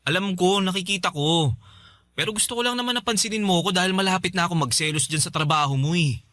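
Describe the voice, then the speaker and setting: An actor playing a devoted young live-in boyfriend in a Tagalog radio drama, coaxing and complaining for attention. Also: male voice, high voice, fast talker, pushed and bright, no echo, studio quality. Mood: complaining, needy.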